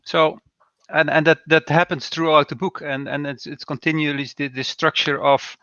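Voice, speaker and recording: A man who is not a native English speaker, chatting about a book with halting, repeated words and dry humor. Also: a little high, little pitch movement, sharp tone, no room sound. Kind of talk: casual book club chat. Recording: dull dry lo-fi sound.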